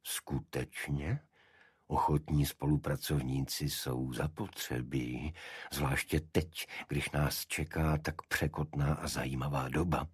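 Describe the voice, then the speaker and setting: A man voicing a small, dignified aristocratic cult leader in an audiobook, with a deep voice and a monotone, chant-like delivery. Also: breathy edge, no room sound, clean studio sound, full character voice. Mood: intrigued. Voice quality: unexpectedly deep. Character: small energetic nobleman, cult leader, dignified, secretive zealot.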